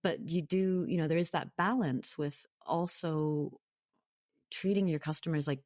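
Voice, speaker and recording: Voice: mid-range voice, unhurried, a little mellow, dry recording, clean studio sound. A podcast guest talking conversationally in an interview, reflective but emphatic.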